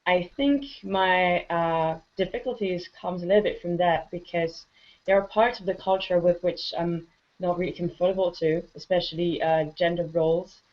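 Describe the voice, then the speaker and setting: A woman guest speaking hesitantly and reflectively, with many pauses, about learning a language. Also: on the low side, fairly even intonation, highs cut off. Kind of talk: casual conversation.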